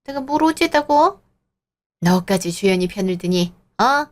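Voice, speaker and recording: A woman's voice: medium pitch, lively intonation, a little slow, close to an everyday voice. A woman voicing a snobbish, condescending mother-in-law in her sixties who lectures in an audio drama. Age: 60s.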